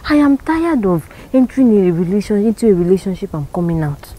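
A woman's voice: medium pitch, brisk, low-quality recording. A woman acting in a skit as a wary single woman who wants marriage. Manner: expressive, wary.

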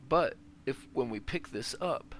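Man, casual game commentary, unhurried explaining, medium pitch, faint background game noise. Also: expressive, fairly bright tone. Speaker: let's player. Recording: game ambience noise behind voice. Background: video game ambience.

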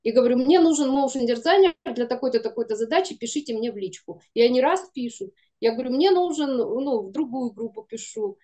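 Higher-pitched woman chatting informally. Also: expressive, brisk, hardly any pauses, dark tone. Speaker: guest, animation studio producer. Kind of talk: casual interview chat. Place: room indoors, video call.

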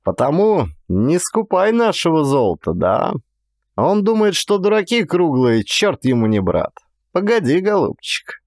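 A man acting an animated, folksy Cossack inspector. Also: a little high, measured pace, no echo, studio quality, voice pushed up. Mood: stubborn, wily. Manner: colloquial, emphatic storytelling. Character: gruff, talkative male Cossack gold-field inspector, folksy, stubborn. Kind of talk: dramatized audiobook character dialogue.

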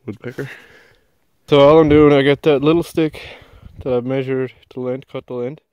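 A man's voice: medium pitch, moderate pace, clear, resonant tone, no echo, muffled recording. Easygoing man in the woods. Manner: relaxed, chatty, explains as he works. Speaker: bushcraft vlogger. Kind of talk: casual narration while working. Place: outdoors in a spruce forest. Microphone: handheld or mounted action camera.

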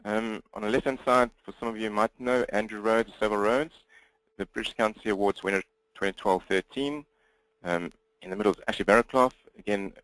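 A man with a South African accent giving a webinar presentation as a business coach, talking fast with a slight mumble and frequent restarts. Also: lowish voice, a bit bright, no echo, rough recording.

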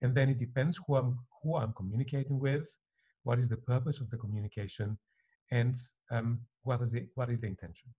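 A middle-aged man from Greece, a native Greek speaker, giving reflective interview answers as a professor with a slightly husky voice. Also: medium pitch, steady tone, brisk, warm tone, dull audio. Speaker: university professor being interviewed. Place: attic living room at home.

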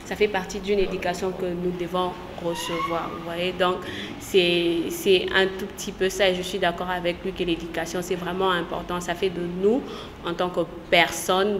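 Low-voiced woman in an echoey room. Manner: earnest, agreeing. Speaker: studio guest. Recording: echoey room, long reverb, noise.

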